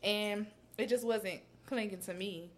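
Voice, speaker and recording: A woman in her early twenties, a designer guest, talking in a relaxed, reflective way with many "like" fillers. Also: medium pitch, unhurried. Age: 20s. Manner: relaxed and reflective, lots of "like" fillers, trails off softly. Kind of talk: casual interview chat.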